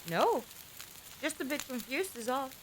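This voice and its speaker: A woman acting in a fan audio drama as a bewildered, earnest, quick-witted companion who questions everything. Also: sits high, lively intonation, noisy background. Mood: confused, anxious.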